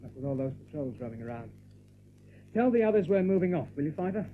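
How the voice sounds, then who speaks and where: A thin, band-limited recording carries a young rabbit leader in an audio drama. He is earnest, brave and decisive, with a slightly high voice that moves in lively steps. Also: fast. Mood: commanding. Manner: earnest, commanding. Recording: thin narrow-band recording with faint noise.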